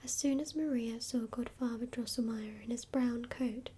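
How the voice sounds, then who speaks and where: A woman reading a classic story aloud in a steady, unvoiced ASMR whisper. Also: fairly high pitch, slightly rough. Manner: unvoiced whisper, slow and even. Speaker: narrator.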